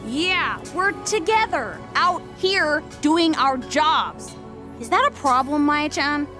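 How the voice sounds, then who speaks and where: A woman's voice: sits high, expressive, slow pace, sharp tone, faint music behind, full character voice. A woman voicing a young swordsman boy in an anime-style audio drama, light, casual and unflappable. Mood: dismissive, offhand.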